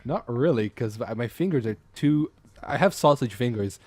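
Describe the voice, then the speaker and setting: A young man in his twenties with an Irish accent talks casually as a podcast guest, rambling, restarting his sentences and laughing often. His voice sits at an ordinary pitch. The recording is close and dry, with no room sound. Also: expressive, moderate pace, darkish tone, no echo. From Brazil. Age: 20s. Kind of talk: casual chat.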